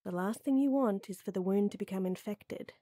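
A woman playing a tall, strong lady knight who flirts and teases softly and coyly in a roleplay audio drama. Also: mid-range voice, brisk, no echo, clean studio sound, lightly acted. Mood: caring, reassuring. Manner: teasing, coy, soft and intimate with chuckles.

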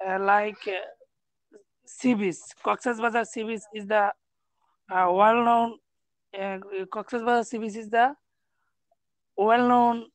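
A young man from Bangladesh, a student practicing English in halting, broken sentences full of pauses. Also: high-pitched, no echo. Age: young adult. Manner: hesitant, broken English, many uh fillers.

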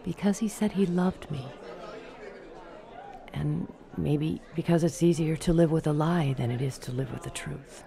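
A woman's voice: low voice, a little slow, vocal fry, dark tone. A woman playing a hurt, lovestruck nightclub singer in denial, in a noir radio drama. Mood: wounded, defensive. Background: music and nightclub crowd.

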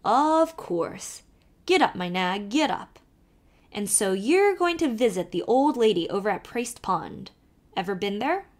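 Old peddler man as a woman puts him on, thick brogue, teasing, sly, animated pitch. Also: measured pace.

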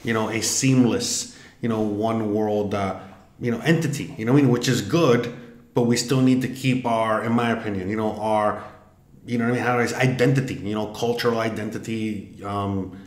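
A man's voice: on the low side, fairly even intonation, normal speed. A man, musing aloud in a rambling, filler-heavy way while taking an online quiz on a desk webcam. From Miami, Florida, US. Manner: rambling, many fillers and restarts. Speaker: vlogger. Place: home room, indoors. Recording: echoey room.

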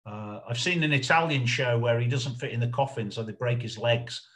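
A middle-aged man presenting an informal slideshow lecture over a video call, haltingly, thinking aloud as he goes. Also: medium pitch, a bit bright. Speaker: lecturer on puppet history.

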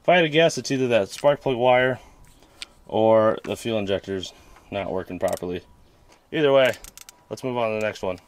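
A man's voice: fairly low pitch, normal speed, long pauses, slight background noise. A man hosting, casually explaining car repair step by step in a laid-back, rambling way, outdoors by a vehicle. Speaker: host and mechanic.

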